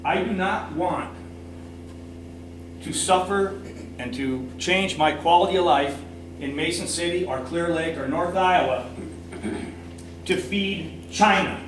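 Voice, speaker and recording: A man's voice: sits high, slow, long pauses. A man giving impassioned, emphatic public comment, repeating points for stress. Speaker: citizen speaker at a public council meeting. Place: indoor council meeting room. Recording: echoey meeting room, distant mic, noisy hum.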